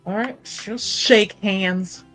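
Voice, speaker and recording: A woman's voice: medium pitch, slow pace, fairly bright tone, faint music behind, poor audio. A woman playing a tabletop roleplaying game, chatting informally with some swearing as she thinks aloud and narrates her character.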